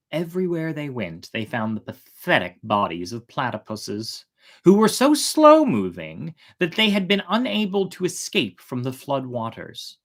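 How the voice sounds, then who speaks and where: A storyteller narrating a folk tale in a theatrical way, with drawn-out, emphatic words. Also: medium pitch. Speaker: storyteller, host of a storytime show.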